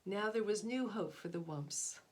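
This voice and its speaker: A woman's voice: medium pitch, measured pace, a little hiss or hum. A middle-aged woman presenting a read-aloud, talking informally and explaining things to young listeners. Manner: explanatory, asks listeners questions. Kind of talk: informal children's book talk to young listeners.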